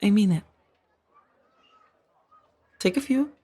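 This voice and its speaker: A woman acting in a soft-spoken ASMR roleplay as a kind, conflicted former warrior monk turned farmer, hesitant and earnest. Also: mid-range voice, lively intonation, slow, husky. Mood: playful teasing.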